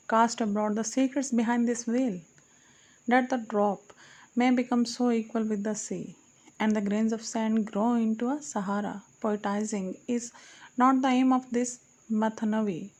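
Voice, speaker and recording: Female audiobook narrator, higher-pitched, solemn recital of archaic verse with self-corrections. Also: steady tone, measured pace, a little mellow. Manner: solemn recitation, correcting her own slips. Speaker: audiobook reader. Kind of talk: reading archaic poetry aloud.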